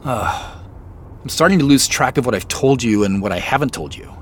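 A man's voice: mid-range voice, animated, moderate pace, a little hiss or hum, natural voice. A man acting in an audio drama as a suspicious, on-edge man investigating a mystery, with a dry, guarded manner. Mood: guarded, wry. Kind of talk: naturalistic audio drama dialogue.

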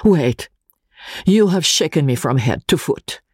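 A man voicing a little Italian man, gravely earnest, trembling and hesitant, in a dramatic audiobook reading. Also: a little high, expressive, measured pace, no echo, studio quality, voice pushed up. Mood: shaken, secretive.

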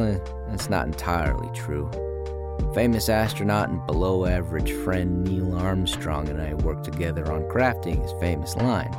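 An actor playing a bitter, self-important older screenwriter, delivering an aggrieved and confiding dramatic monologue. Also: male voice, low-pitched, creaky, exaggerated voice. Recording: loud music under the voice.

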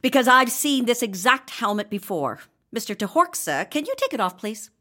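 A curt woman healer in a comic fantasy audio drama. Her voice is a little high. She is dry and sarcastic, with exasperation under a professional surface. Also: very animated, moderate pace, sharp tone. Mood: dry, clinical.

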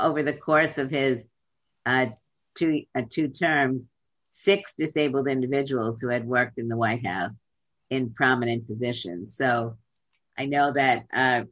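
Measured woman on dull lo-fi audio. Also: rumbling low, a bit bright, dry recording. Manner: measured, deliberate, with frequent pauses and fillers. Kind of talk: remote interview answers.

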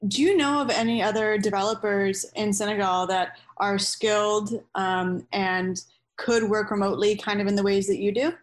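A woman hosting an interview, asking clear, measured questions. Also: medium pitch, steady tone. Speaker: interview host.

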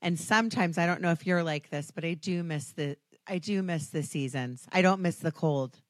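Brief: American woman podcast host, raspy and hoarse, low-pitched, dry close mic, chatty. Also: normal speed, dry recording. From Washington, D.C. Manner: chatty, self-deprecating, frequent ums. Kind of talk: casual chat.

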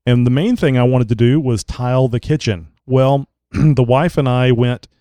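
A man's voice: medium pitch, moderate pace, mellow, dry recording. A man hosting a casual chat show, rambling with lots of filler words, his voice a little crackly as he recovers from a cold. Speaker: podcast host.

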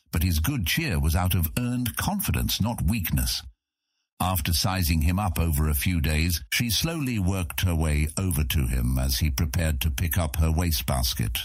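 A man's voice: extremely low pitch, breathy edge, no echo, clean studio sound. A man narrating a scripted fantasy audiobook as its storyteller.

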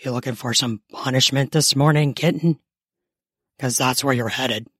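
A woman doing a husky, grumpy man. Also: extremely low pitch, moderate pace, fairly bright tone, dry recording, studio quality, voice pushed down, full character voice. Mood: menacing. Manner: groggy, growling, commanding. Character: gruff, grumpy, dominant young man just woken.

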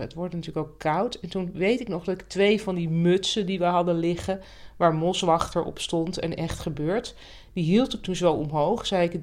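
A woman's voice: fairly low pitch, darkish tone. A woman chatting casually as a podcast co-host. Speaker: writing teacher. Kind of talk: casual chat. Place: indoors on a couch.

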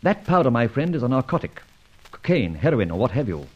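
Suave, husky gentleman adventurer. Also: mid-range voice, sing-song, a little fast. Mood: suave, teasing. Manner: urbane, wordy, mock-formal, teasing. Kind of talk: old radio serial acting and narration. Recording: dull old radio serial recording.

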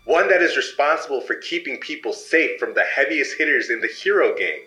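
An actor playing a cold, secretive male agent who edits code with his powers, speaking calmly and dismissively in an audio drama. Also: fairly high pitch, fairly quick, reverberant, poor audio. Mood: smug. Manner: calm, dismissive, condescending.

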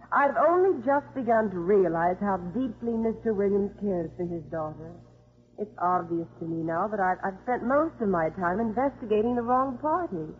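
An actress playing an earnest, conscientious probation officer with careful delivery in 1950s radio drama style. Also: female voice, mid-range voice, close to an everyday voice. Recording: muffled 1950s radio recording.

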